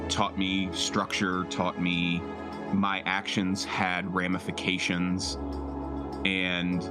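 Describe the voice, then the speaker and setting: Low-voiced man, thoughtful, over music. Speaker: tabletop role-playing game player. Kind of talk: casual in-character explanation of a character's backstory. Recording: music playing behind the voice.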